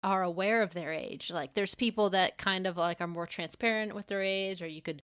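A woman's voice: mid-range voice, moderate pace, a bit bright, clear, resonant tone, no room sound, studio quality. A woman co-hosting a podcast in casual discussion, thinking aloud in a rambling, informal way full of filler words. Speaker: podcast co-host.

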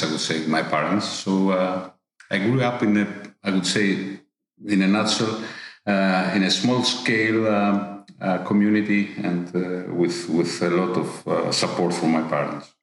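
A middle-aged Greek man with a Greek accent, an executive answering interview questions haltingly, with many fillers and repeated words. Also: deep voice, fairly even intonation, vocal fry, heavy reverb. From Greece. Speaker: business executive guest.

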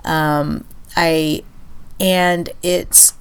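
A woman co-hosting a podcast, chatting casually and thinking aloud with many pauses and fillers, her voice stuffy and nasal from a cold. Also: low-pitched, level tone, clear, resonant tone. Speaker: podcast co-host. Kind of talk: casual chat.

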